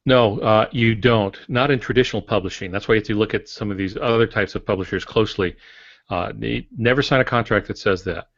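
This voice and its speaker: Man, low voice, casual explanatory podcast talk, frank, dull lo-fi sound. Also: brisk. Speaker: author, podcast guest or panelist. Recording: dull, roomy podcast audio.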